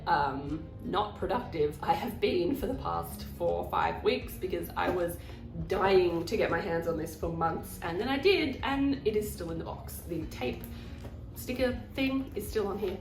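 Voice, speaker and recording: A woman's voice: on the low side, roomy sound, far from the mic, rough recording. A woman with a cold and a nasal voice, chatting casually about her shopping haul, rambling and poking fun at herself. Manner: chatty and rambling, self-deprecating. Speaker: vlogger.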